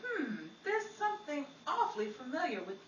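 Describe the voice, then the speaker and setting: A sharp-tongued, wry lady detective of old-time radio comedy played by a woman, high and very animated. She sounds set back from the mic in an echoey room. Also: moderate pace, recorded from a distance, dull audio, very noisy. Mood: intrigued. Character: Betty Blackie, sassy, shrewd 1940s woman amateur sleuth. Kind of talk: 1940s radio comedy acting.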